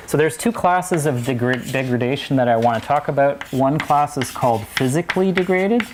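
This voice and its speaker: A man's voice: mid-range voice, normal speed, noisy background. A man giving a university lecture, talking in a digressive way with many restarts and asides. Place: lecture hall.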